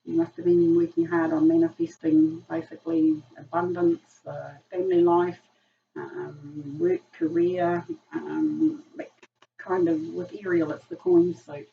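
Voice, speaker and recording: Croaky-voiced woman giving a tarot reading. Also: lowish voice, flat delivery, measured pace. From New Zealand. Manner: chatty, filler-heavy, frequent ums. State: croaky voice from a virus. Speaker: tarot reader. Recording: distant lo-fi room mic, faint noise.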